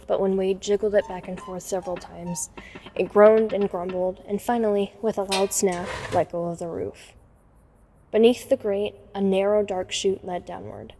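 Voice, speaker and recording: A woman playing a watchful young woman telling her own story in an audio drama. Her voice is mellow and mid-pitched, with measured scripted narration. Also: a little hiss or hum, lightly acted. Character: watchful young woman recounting a night break-in. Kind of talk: scripted first-person narration.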